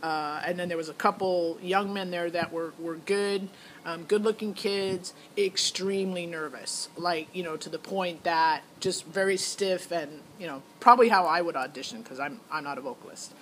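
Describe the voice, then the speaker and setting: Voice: medium pitch, moderate pace, a bit bright, slight background noise, low-quality recording. A middle-aged parent giving a casual, candid spoken recap that rambles and quotes past conversations. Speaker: parent reviewing a child's talent show audition.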